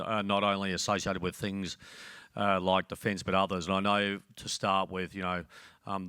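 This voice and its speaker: A man's voice: deep voice, sharp tone, no echo, studio quality. A man on a business panel, telling rambling anecdotes with many fillers and restarts. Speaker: panel speaker. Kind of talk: panel discussion.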